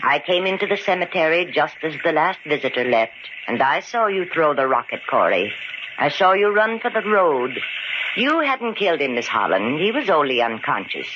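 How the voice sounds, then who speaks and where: A woman playing a cold, vengeful, scheming murderess in an old-time radio mystery, speaking with theatrical, deliberate menace. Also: low-pitched, big pitch swings. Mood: cold, gloating. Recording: muffled, noisy old radio recording.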